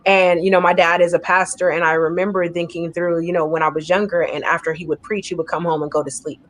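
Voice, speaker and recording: A woman poet on a discussion panel, talking in an energetic, emphatic way and often checking in with "right?". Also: on the low side, fast, a little hiss or hum.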